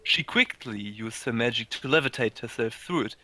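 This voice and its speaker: A man narrating amateur fan fiction, halting and stumbling over words as he reads aloud. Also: mid-range voice, animated, bright, forceful tone, a little hiss or hum.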